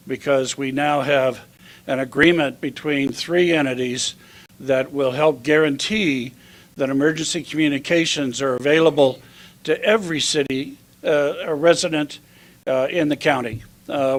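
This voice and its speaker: A man speaking as a county official at a public announcement, delivering his remarks deliberately, phrase by phrase. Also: medium pitch. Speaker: county commissioner. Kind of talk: public speech at a press announcement.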